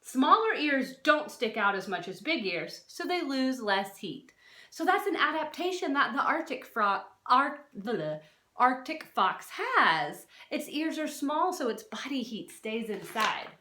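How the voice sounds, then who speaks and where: A woman with a high voice reads to children in a teacherly way, swooping widely in pitch. She poses questions, leans on key words and adds explanatory asides. The recording is noticeably echoey, as in a bare room. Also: unhurried. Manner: expressive, questioning, stresses and draws out key words. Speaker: teacher reading a non-fiction book aloud to children. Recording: roomy, echoey sound.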